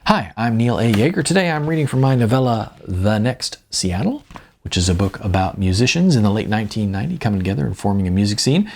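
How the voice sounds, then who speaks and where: A man's voice: lowish voice, animated, fast, gravelly, slight background noise. A man reading his own novel aloud in a wry, conversational storytelling manner. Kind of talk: audiobook narration with spoken asides.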